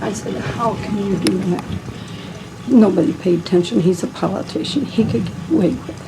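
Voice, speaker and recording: Female resident, middle-aged, emphatic and plain-spoken, mid-range voice, 1970s newsreel with clear traffic noise. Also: mid-range voice, moderate pace. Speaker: local resident interviewed. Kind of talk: news interview.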